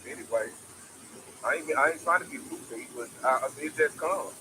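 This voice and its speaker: A young man talks straight to camera, preaching the same points again and again with heavy emphasis. His voice sits a little high for a man. A clear hiss runs under the whole recording. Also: moderate pace. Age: 20s. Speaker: motivational vlogger. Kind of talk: casual motivational monologue.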